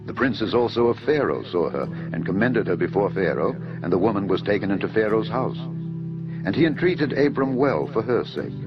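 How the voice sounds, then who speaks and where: A man giving a dramatised scripture narration in a solemn, measured storytelling manner. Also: low voice. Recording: dull lo-fi audio under music. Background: background music.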